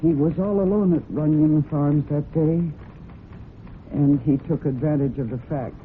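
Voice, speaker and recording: A woman performs a serious young heiress in a vintage radio drama. She speaks with measured, formal firmness and grave composure. The old recording is muffled and noisy. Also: low-pitched, close to an everyday voice. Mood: sombre.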